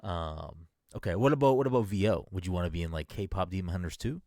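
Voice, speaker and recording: A man co-hosting a casual podcast chat, teasing a friend with rapid hypotheticals and frequent restarts. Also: low voice, mellow, no room sound, clean studio sound. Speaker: podcast co-host.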